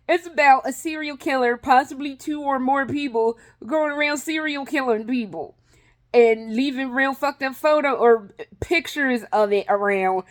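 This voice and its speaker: A woman vlogging in a casual, rambling way, swearing freely, laughing often and restarting her sentences. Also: on the high side. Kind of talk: casual rambling vlog chat.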